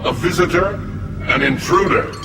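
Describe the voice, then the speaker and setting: A man's voice: sits high, normal speed, roomy sound, close to an everyday voice. Stern, sanctimonious prison warden, acted by a man. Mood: officious, menacing. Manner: declamatory, officious, sharply emphatic. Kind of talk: scripted video game acting. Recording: loud music behind the voice.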